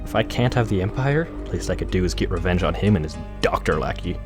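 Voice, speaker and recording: A man's voice: low voice, animated, quick pace. A man playing a bitter, vengeful unicorn guard turned villain in a cartoon audio drama, haughty and dramatic. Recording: music playing behind.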